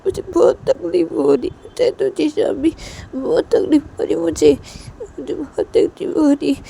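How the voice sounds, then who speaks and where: Storytelling role: hurt, clinging young woman played by a woman, high whimpering voice, repetitive pleading, light noise behind. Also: normal speed, gravelly, dark tone. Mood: hurt, tearful.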